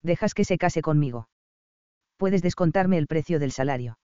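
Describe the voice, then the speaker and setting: A man's voice: on the high side, normal speed, mellow, clear, resonant tone, dry recording, lightly acted. A man voicing a sick young woman who is wry and self-mocking, read in a dull, dry recording. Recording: slightly dull dry audio.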